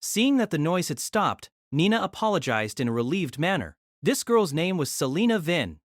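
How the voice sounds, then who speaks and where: A male narrator reading a light novel aloud, his voice sitting a little high and moving lively through each sentence. The recording is dry and close, with no room sound. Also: no room sound.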